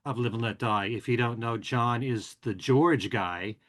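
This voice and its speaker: A man hosting a podcast, casually running through show news and plugs in a chatty, relaxed way with frequent filler words. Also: mid-range voice, measured pace. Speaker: podcast and radio host.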